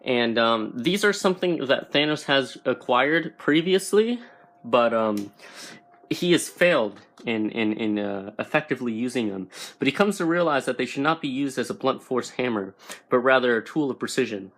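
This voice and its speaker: Male online explainer host, informal and halting, often searching for the next word, mid-range voice. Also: mid-range voice, animated, a bit bright. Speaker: YouTube comics explainer host.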